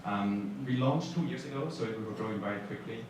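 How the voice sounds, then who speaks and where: A man's voice: medium pitch, a little fast. A man from Switzerland, a startup executive, introducing himself on a panel with many fillers and restarts. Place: conference hall. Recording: echoey hall from far off, noisy.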